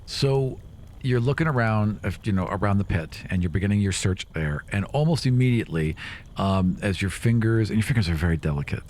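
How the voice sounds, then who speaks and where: A man's voice: lowish voice, lively intonation, darkish tone. A man improvising narration as game master of a tabletop game, conversational and often restarting his sentences. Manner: conversational, halting and self-correcting as he describes. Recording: faint background noise.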